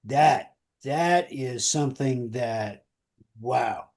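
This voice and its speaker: A man with a soft-edged, mellow voice at a middle pitch, co-hosting a casual show. He speaks in a folksy, earnest manner, addressing listeners in plain colloquial language. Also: drawn-out words. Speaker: podcast co-host. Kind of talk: casual radio show talk with listener appeals.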